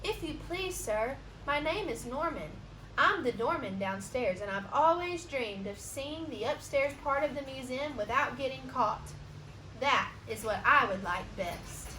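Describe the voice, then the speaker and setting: Lady doing a tiny shy boy mouse, high n meek, super polite, roomy sound. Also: animated, unhurried, bright, forceful tone, heavily acted. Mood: shy, hopeful. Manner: meek, earnest, pleading. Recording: roomy echo, background noise.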